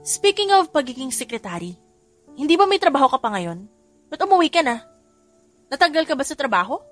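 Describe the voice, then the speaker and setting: A woman's voice: high-pitched, a little fast, faint music behind, voice pushed up. A woman voicing a cheerful, curious young woman who questions her tired friend. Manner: lively, inquisitive.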